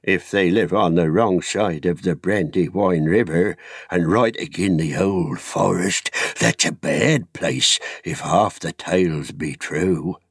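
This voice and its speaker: A man voicing a gloomy, suspicious old hobbit villager with slow, ominous rustic phrasing in a storybook reading. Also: sits low, breathy edge, no echo, studio quality, exaggerated voice. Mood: dark, wary.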